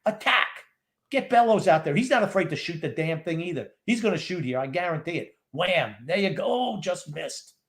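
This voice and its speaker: A man's voice: high voice, lively intonation, normal speed. A man co-hosting a live game watchalong podcast, blunt and complaining. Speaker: podcast co-host.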